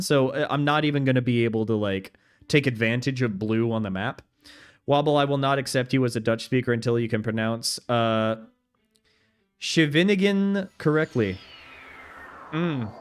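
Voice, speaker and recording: A young man streaming a video game, chatting casually with his viewers, reading their messages aloud and laughing easily. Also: mid-range voice. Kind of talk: casual gameplay commentary.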